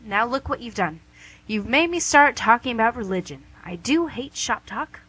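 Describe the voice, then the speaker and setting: A woman's voice: fairly high pitch, animated, moderate pace, a bit bright, slightly rough, low-quality recording. A woman playing a smug, coaxing female devil in a comic radio play, with a theatrical, glib delivery. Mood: mock exasperated. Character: smug, wheedling, image-conscious female devil called Lucy.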